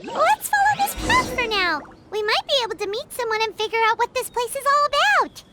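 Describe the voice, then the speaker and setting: A woman's voice: extremely high pitch, sing-song, a little fast, sharp tone, faint music behind, full character voice. A woman voicing a chatty little fairy companion who talks about herself in the third person. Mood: chirpy.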